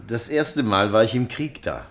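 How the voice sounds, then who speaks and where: A man's voice: mid-range voice, normal speed, fairly bright tone, natural voice. An actor playing a courteous, reserved middle-aged businessman in a naturalistic radio play, polite, formal and slightly hesitant. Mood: polite, tentative. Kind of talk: naturalistic radio drama acting.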